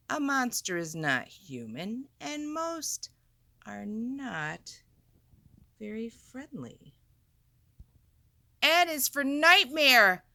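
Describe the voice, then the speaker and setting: Slow, very animated woman reading a storybook. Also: mid-range voice. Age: adult. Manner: deliberate, dramatic emphasis, chatty questions to listeners. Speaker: storyteller, teacher reading to children. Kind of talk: expressive picture book read-aloud with asides.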